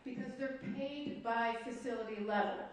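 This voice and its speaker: A woman speaking as an official at a formal public hearing, halting and deliberate, putting emphasis on key points as she makes remarks and asks questions. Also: on the high side. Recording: echoey room, distant mic, faint noise.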